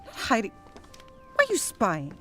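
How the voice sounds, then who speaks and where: An actress playing a stubborn, sarcastic wife who needles and bickers in a naturalistic period radio drama. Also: female voice, a little high, wildly swooping pitch, moderate pace, bright, forceful tone, soft background music, full character voice. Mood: evasive. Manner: sarcastic, blunt, teasing.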